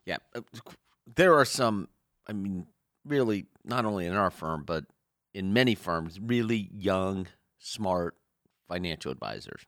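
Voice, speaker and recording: A man with a fairly low voice that swings widely in pitch, co-hosting a radio money show. He cuts in with short questions and asides. The sound is dry and close. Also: measured pace, no echo. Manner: lively, interjects with short questions. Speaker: radio co-host, financial advisor. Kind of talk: casual radio talk.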